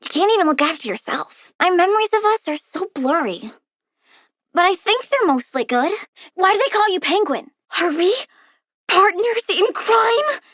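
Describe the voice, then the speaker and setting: A young girl supervillain who dotes on plants and toys with people. Her voice is very high and animated, recorded dry and close. She is petulant and cutesy, with gleeful menace, in polished video game style. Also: moderate pace, no echo, exaggerated voice. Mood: playfully bratty.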